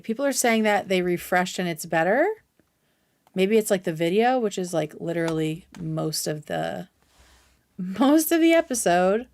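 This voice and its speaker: A woman's voice: medium pitch, darkish tone, no room sound, studio quality. A woman hosting a livestream, chatting casually and informally with viewers, with some swearing. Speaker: livestream host. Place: indoors at home.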